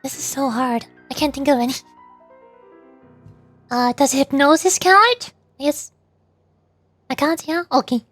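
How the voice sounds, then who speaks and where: A young woman streamer with a high, light voice chats casually with her viewers. She rambles and hesitates, filling pauses with ums and uhs and restarting her sentences as she goes. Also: a bit bright, soft background music. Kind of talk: casual live-stream chat.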